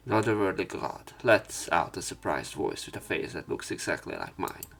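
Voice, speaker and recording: A male let's play narrator with a fairly low voice. He reads the text of a visual novel aloud, line by line, as a story. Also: lively intonation, normal speed, gravelly, a bit bright.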